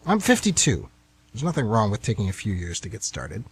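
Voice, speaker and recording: A man's voice: lowish voice, huge pitch swings, brisk, husky, full character voice. A man playing a pompous, easily offended would-be novelist in his fifties in an amateur radio comedy. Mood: indignant, lofty. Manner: pompous, grandiloquent, indignant. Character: pompous, defensive 52-year-old aspiring novelist man.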